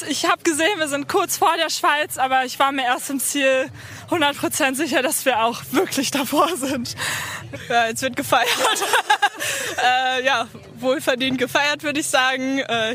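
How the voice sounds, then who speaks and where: Give me a young woman athlete just off the water, very high and bright, laughing through her answers. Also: normal speed. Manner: excited, laughing, breathless rush. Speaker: athlete interviewed. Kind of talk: post-race interview.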